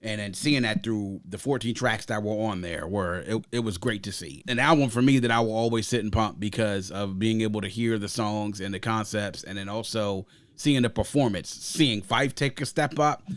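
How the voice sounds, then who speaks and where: A man's voice: lowish voice, moderate pace, hardly any pauses, no echo. Enthusiastic man reviewing an album. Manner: enthusiastic, rambling with fillers. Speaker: podcast co-host. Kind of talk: casual album review chat.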